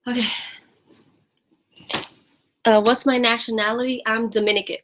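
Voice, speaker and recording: A woman's voice: fairly high pitch, little pitch movement, normal speed, fairly bright tone. An American woman hosting a casual live fitness Q&A, chatting and laughing as she greets viewers by name. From United States. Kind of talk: casual live-stream chat.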